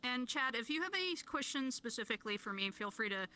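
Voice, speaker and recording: A woman with a slightly high, very bright voice co-hosts a casual live stream. She speaks to the chat in a friendly way and teases her co-hosts. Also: expressive, fast talker.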